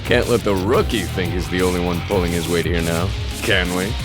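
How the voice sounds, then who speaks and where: An actor playing a gruff, cocky veteran fighter in a video game dub, with a swaggering delivery. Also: male voice, low voice, steady tone, brisk, over music, close to an everyday voice. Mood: rallying.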